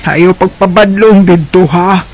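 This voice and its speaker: A man's voice: high-pitched, fairly even intonation, darkish tone. A man with a Bisaya Filipino accent voicing a worried, cautioning village mother in a radio drama, drawing out the words. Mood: worried, reluctant. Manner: slow, drawn-out. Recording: faint background noise.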